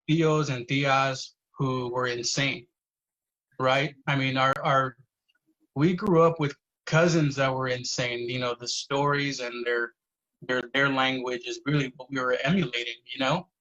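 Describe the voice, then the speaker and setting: A man's voice: medium pitch, fairly even intonation, unhurried, a bit bright. Earnest swearing man, dull lo-fi sound. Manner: earnest, swearing, storytelling with many "you know"s. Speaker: poet guest. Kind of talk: casual podcast conversation.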